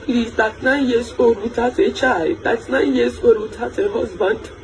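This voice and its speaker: A woman's voice: a little high, fairly even intonation, normal speed, slight background noise, low-quality recording. Pleading woman in her thirties. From Nigeria. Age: 30s. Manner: repetitive, pleading. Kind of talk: pleading social media video.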